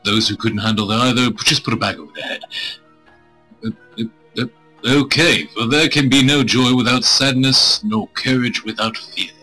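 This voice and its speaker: A man streaming a visual novel, reading it aloud with crude, irreverent joking asides, in a husky voice. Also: mid-range voice, moderate pace, pushed and bright, low-quality recording. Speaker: game streamer. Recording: music playing behind the voice.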